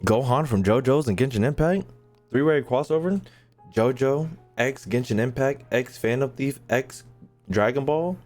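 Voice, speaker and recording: A man's voice: mid-range voice, expressive, normal speed, a little mellow, faint music behind. A man giving casual, joking gameplay commentary as a video host, crude and slangy.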